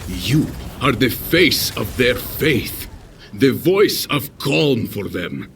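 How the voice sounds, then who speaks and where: A man playing a noble, earnest warrior peacemaker in an audio drama, speaking in a measured, solemn, oratorical way. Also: medium pitch, sing-song, vocal fry, a bit bright, slight background noise, exaggerated voice. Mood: earnest, pleading.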